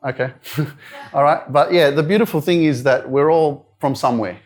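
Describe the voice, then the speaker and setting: A man's voice: on the high side, normal speed. A man with an Australian accent giving a casual, direct motivational talk at a live indoor event, chatting with the crowd and swearing now and then. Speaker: motivational speaker.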